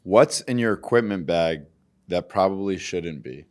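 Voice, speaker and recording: Low-voiced teasing podcast host. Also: male voice, animated. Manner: teasing, playful, quick to laugh. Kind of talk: casual podcast chat.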